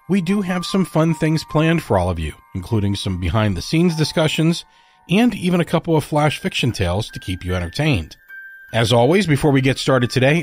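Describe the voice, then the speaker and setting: Male podcast host for a scripted show intro, mid-pitched, over music. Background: music under the voice.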